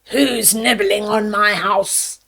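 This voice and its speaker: A woman's voice: mid-range voice, steady tone, slow pace, vocal fry, pushed and bright, full character voice. A woman voicing a wicked old witch from a children's storybook, falsely kindly, bossy and snappish. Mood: sly, welcoming. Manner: snappish, commanding. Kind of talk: children's storybook read-aloud.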